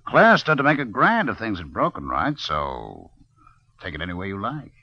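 A man's voice: on the low side, huge pitch swings, moderate pace, bright, forceful tone, no room sound, full character voice. An actor playing a ruthless, sarcastic crime boss in an old radio drama, with clipped, commanding tough-guy delivery. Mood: suspicious. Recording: muffled, noisy old radio recording.

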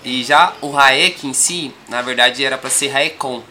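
A young man with a São Paulo accent talks as a podcast guest in a calm, rambling way, dotting his sentences with little tag questions. His tone is very bright. A faint hiss sits behind him. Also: medium pitch, measured pace. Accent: São Paulo Portuguese. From Brazil. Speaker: rapper guest. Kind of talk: casual podcast interview.

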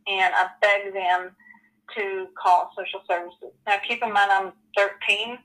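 A woman in her forties telling her personal story as an interview guest, earnest and halting, with many ums and you-knows. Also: medium pitch, steady tone, fairly bright tone, poor audio. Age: 40s.

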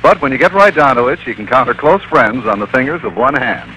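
A man's voice: mid-range voice, animated, fairly quick, bright, forceful tone, a little hiss or hum, low-quality recording. A man announcing an old radio comedy, reading a scripted introduction in a wry manner. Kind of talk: 1940s-50s radio show narration. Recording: old-time radio recording over music.

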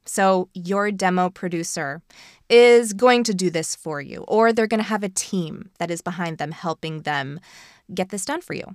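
A woman with a lowish voice hosts an advice podcast. She explains things directly and encouragingly, in a dry recording with little room sound. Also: moderate pace, dry recording. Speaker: podcast host.

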